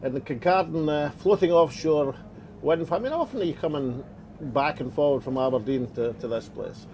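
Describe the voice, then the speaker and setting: Host asking leading questions. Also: male voice, on the high side, brisk, noisy background. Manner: leading questions, restarts, tag questions. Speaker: host and interviewer. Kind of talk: conversational interview. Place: on a small boat offshore.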